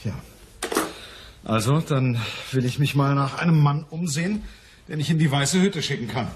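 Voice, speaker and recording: An actor playing a dry, commanding private detective in a crime radio play, matter-of-fact and wry. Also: male voice, on the high side, moderate pace, background noise, lightly acted. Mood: businesslike. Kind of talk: German crime radio play, dialogue and narration.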